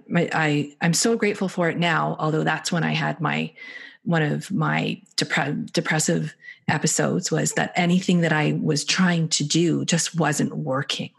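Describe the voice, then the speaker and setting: A woman from Canada with a very low voice full of gravel. She sounds husky and talks reflectively, searching for words with frequent fillers. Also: steady tone, normal speed. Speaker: podcast co-host. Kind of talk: casual podcast conversation.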